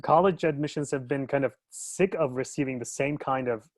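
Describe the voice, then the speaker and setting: A man's voice: mid-range voice, moderate pace, no room sound, clean studio sound. A man from Turkey, an educational consultant in his thirties, talking casually in a webinar discussion, with many fillers and restarts.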